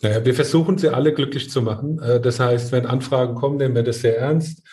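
A man's voice: mid-range voice, steady tone, fast talker, mellow, a little hiss or hum. A middle-aged man speaking casually and earnestly as a winemaker, often tagging his points with short questions to seek agreement. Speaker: winemaker, guest. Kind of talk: casual conversation about own work.

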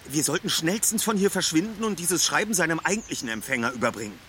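An actor playing a self-assured teenage detective leader with formal, precise wording in an audio drama. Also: male voice, a little high, lively intonation, fast talker, a bit bright, slight background noise. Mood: pensive, decisive. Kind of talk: German audio drama acting.

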